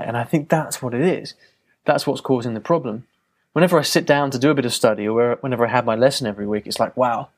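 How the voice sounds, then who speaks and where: A man hosting a podcast, talking on his own in a reflective, loose way with frequent fillers and restarts. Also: mid-range voice. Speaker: podcast host. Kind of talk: casual solo podcast monologue.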